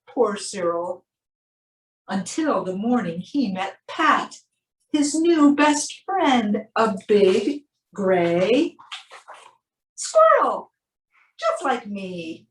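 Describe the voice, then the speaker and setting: A woman reading aloud to children in an expressive storybook style, drawing out key words for emphasis. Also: a little high. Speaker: animal shelter presenter reading a picture book. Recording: roomy, distant microphone.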